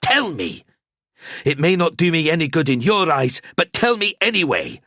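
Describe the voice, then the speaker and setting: A man's voice: mid-range voice, very animated, a little slow, a bit bright, no room sound, clean studio sound, pitched above the performer's own voice. A man voicing a stubborn, brave male warrior who pleads and demands with urgent force. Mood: demanding.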